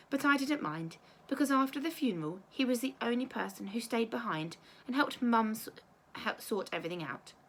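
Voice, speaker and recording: A woman teacher reading a children's storybook aloud in an expressive, measured storytelling way. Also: mid-range voice.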